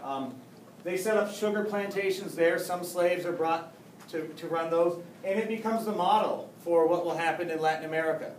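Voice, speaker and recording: A man's voice: sits high, normal speed. A male history teacher lecturing casually, joking with his class and restarting often. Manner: joking, chatty, with many restarts. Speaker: high school history teacher. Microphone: camera far across the room. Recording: echoey classroom, faint hum, mic far off.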